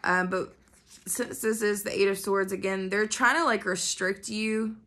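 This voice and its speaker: A woman's voice: medium pitch, fairly even intonation, unhurried, a bit bright. A woman with a nasal voice from a cold, casually doing a tarot reading and chatting to her viewers. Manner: chatty, swears freely, many fillers. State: coming down with a cold. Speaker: tarot reader. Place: indoors at a floor-level reading table. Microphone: camera pointed at the cards, speaker off-frame.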